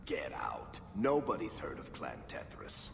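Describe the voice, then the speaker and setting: An actor playing a roguish, easygoing dwarf with a wry, conversational manner in a fantasy video game. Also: male voice, very deep voice, huge pitch swings, unhurried, vocal fry, a bit bright, exaggerated voice. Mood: amused. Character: wisecracking male dwarf storyteller, roguish and easygoing. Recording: echoey cavernous reverb, noisy ambience behind.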